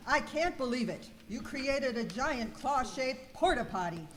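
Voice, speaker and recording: A woman's voice: high voice, lively intonation, unhurried, fairly bright tone. A woman playing a confident, wisecracking cleaning superhero in an amateur comic radio skit, declaiming in mock-heroic style. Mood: defiant. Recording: clearly noisy live room recording.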